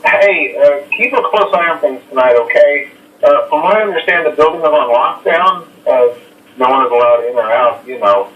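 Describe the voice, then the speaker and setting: A man's voice: mid-range voice, recorded from a distance, lightly acted. A man playing a hesitant phone caller who leaves rambling, reassuring messages full of "uh" fillers. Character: nervous male night-guard phone caller. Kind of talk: recorded game voice lines. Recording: muffled echoey phone-message recording.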